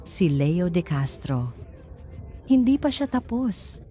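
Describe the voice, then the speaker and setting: A woman reading a scripted romance story in a measured, sentimental, dramatic storytelling manner. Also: on the low side, sing-song, warm tone. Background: background music.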